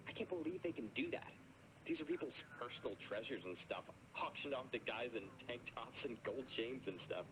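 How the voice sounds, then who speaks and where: A laid-back storage-unit flipper rambles on a call-in show, played by a man. His voice bounces up and down freely, with steady noise underneath. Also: medium pitch, a little fast, natural voice. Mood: rambling. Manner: rambling, casual, easygoing. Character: laid-back guy who flips storage units. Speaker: caller.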